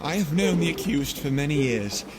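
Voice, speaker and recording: An actor playing an earnest young gentleman full of dread, formal and hesitant, in an audio drama. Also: on the high side, animated, moderate pace, a crowd in the background, lightly acted. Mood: earnest pleading.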